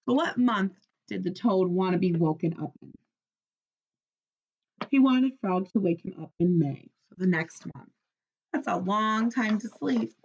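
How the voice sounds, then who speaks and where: A woman teacher reading a storybook aloud to children, expressive and instructive, pausing to ask listeners questions. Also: mid-range voice, muffled recording. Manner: expressive, instructive, checking understanding.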